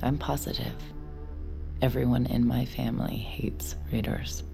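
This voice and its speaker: A woman's voice: extremely low pitch, steady tone, a little slow, vocal fry, whispered, music in the background, heavily acted. A woman acting the part of a defiant young prisoner, sardonic and combative, in an audio drama. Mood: guarded, defiant. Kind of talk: naturalistic audio fiction acting.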